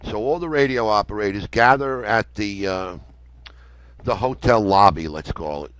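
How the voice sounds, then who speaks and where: Older New man, low dry voice. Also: moderate pace, a bit bright, smooth, no room sound. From United States. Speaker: interview guest, amateur radio operator. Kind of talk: conversational storytelling.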